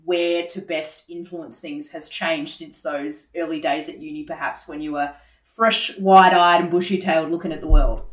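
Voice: medium pitch, steady tone, moderate pace, a bit bright, reverberant, low-quality recording. An interviewer who asks long, encouraging questions and often answers with short words of agreement. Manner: encouraging, with frequent short backchannels. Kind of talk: conversational interview.

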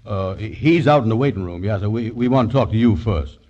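A man acts a slow-witted but eager schemer in a 1940s radio comedy. The voice is on the low side, with hesitant, stammering folksy speech, on a slightly noisy vintage broadcast recording. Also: animated, muffled recording, close to an everyday voice. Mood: hesitant, polite.

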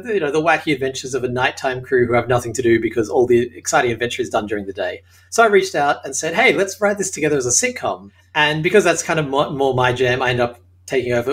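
An Australian man speaks at a quick clip in a middle-pitched voice. He tells his story to an interviewer in an upbeat, laugh-prone way. He is recorded from his apartment over a remote call. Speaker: creator of an audio sitcom, interview guest. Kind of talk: casual interview chat. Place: home apartment.

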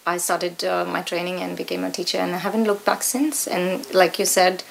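Woman, Indian accent, slightly low pitch, conversational, interview guest, middle-aged. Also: little pitch movement, moderate pace, creaky, slight background noise, rough recording. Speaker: guest, yoga teacher.

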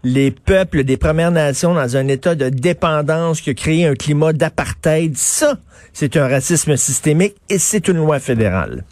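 Québécois radio host guy, slightly high voice, dry close sound, kinda halting chatty delivery. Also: expressive, darkish tone, dry recording. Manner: conversational, halting with fillers. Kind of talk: radio talk show chat.

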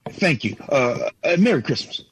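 A man's voice: mid-range voice, very animated, moderate pace, noisy background, natural voice. An actor playing a stubborn, exasperated 1950s family man in an old-time radio drama, full of folksy muttering and exclamations. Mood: muttering, resolute.

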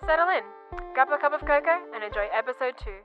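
Female podcast announcer: high voice, scripted intro read, muffled over prominent theme music. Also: animated, fast. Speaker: show announcer.

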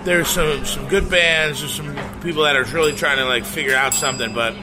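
A man's voice: fairly high pitch, steady tone, brisk, poor audio. A man in his thirties from Oklahoma, a band's guitarist, chatting in eager, laughing, rambling bursts with casual swearing. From Oklahoma, USA. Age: 30s. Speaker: band's guitarist, interview guest. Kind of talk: casual storytelling chat. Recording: music playing underneath.

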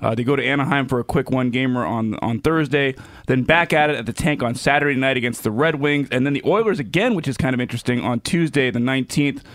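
A man hosts a casual sports radio interview, talking very quickly in a mid-pitched voice. The recording is dry, with no room sound. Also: no room sound.

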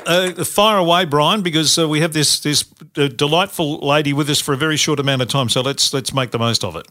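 A man's voice: on the high side, a little fast, pushed and bright, no room sound, studio quality. A man co-hosting a radio show, chatting and introducing the next segment. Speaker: radio co-host. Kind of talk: radio talk.

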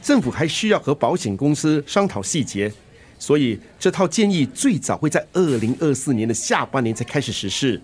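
A man's voice: mid-range voice, big pitch swings, moderate pace, breathy edge. An actor playing a breezy, caring middle-aged father and musician in a radio drama, flippant, with a Singaporean accent. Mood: earnest. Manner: flippant, bantering. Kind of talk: Mandarin radio drama acting.

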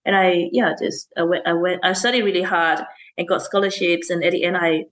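A woman's voice: fairly low pitch, steady tone, a little fast, a bit bright. Woman answering with many fillers. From Malaysia. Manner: hesitant, many fillers and restarts, laughs often. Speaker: STEM teacher and councillor. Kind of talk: casual interview answers.

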